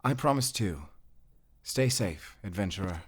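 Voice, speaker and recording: A man's voice: fairly low pitch, lively intonation, measured pace, slightly rough. A man playing a friendly, anxious tavern worker in a fantasy audio drama, casual and earnest in manner. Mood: worried, earnest.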